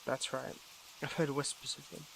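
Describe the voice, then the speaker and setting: A man playing a weary, defiant young superhero in a dramatic audio roleplay, speaking haltingly and broodingly to the listener. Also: mid-range voice, little pitch movement, vocal fry, fairly bright tone, slight background noise, rough recording. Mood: bitter, reflective.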